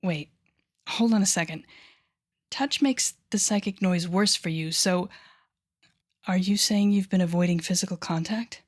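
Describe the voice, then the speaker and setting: A woman playing an intense, coaxing female telepathic villain with a secretly tender side in an intimate audio roleplay, speaking softly and often whispering with deliberate dramatic pauses. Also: fairly low pitch. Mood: probing, insistent. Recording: background music under the voice.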